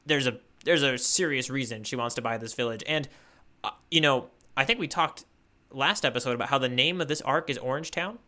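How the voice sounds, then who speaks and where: A man's voice: mid-range voice, a little fast, sharp tone. A man co-hosting a podcast in casual discussion, often repeating words as he thinks aloud. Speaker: podcast co-host. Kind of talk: casual chat, manga discussion.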